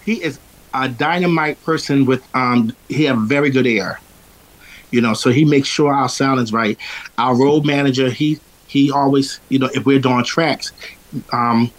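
A man's voice: mid-range voice, normal speed, low-quality recording. Philly singer guy chatting on a radio show, strong voice, rambles a lot, lots of "you know". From Philadelphia. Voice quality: strong, powerful voice. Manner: rambling, with many fillers and restarts. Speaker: singer and interview guest. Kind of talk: casual interview chat.

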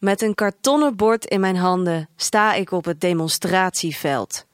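A woman's voice: fairly low pitch, measured pace, dry recording, clean studio sound, close to an everyday voice. A woman playing a detached young female protester in a dystopian audio drama, narrating in literary first person. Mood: matter-of-fact. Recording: music under the voice. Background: music.

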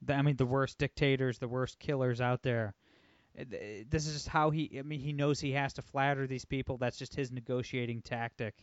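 A man hosting a podcast, talking politics casually in a quick, emphatic way full of "like" and "you know". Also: medium pitch, fairly even intonation, dry recording. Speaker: podcast host. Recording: dull lo-fi, dry sound.

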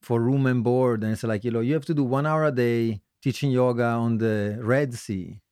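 A middle-aged man with an Italian accent talks casually about himself as an interview guest. His voice sits at a medium pitch and is recorded very dry. He often restarts and corrects himself, with a joking streak. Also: steady tone, darkish tone, clear, resonant tone, no room sound. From Italy. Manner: halting, self-correcting, joking. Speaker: guest musician, singer-songwriter.

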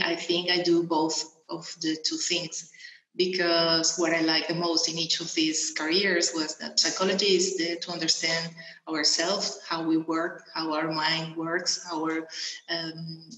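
A woman's voice: fairly low pitch, fairly bright tone. Hesitant woman guest in an echoey room. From Venezuela. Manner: hesitant, many ums and restarts. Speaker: guest, coach and mentor. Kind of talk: interview answers.

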